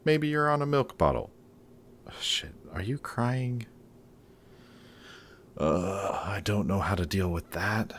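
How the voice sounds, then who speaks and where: A man's voice: fairly low pitch, animated, takes it slowly, long pauses, a little hiss or hum. A man playing a guarded supervillain in a roleplay audio drama, sardonic and awkward whenever he shows that he cares. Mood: awkward, consoling. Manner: sardonic, hesitant when showing care. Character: gruff, guarded male supervillain, secretly caring, sardonic.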